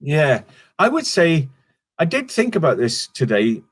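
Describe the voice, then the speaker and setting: A man's voice: fairly high pitch, huge pitch swings, unhurried, breathy edge. A middle-aged Welsh comedian chatting casually in an interview, rambling and restarting his sentences. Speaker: stand-up comedian guest.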